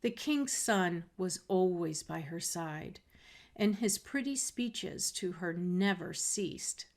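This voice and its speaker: A woman reading a fairy tale aloud as a storyteller, in a measured, expressive way. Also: lowish voice, creaky. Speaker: storybook reader. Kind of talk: scripted read-aloud narration of a fairy tale.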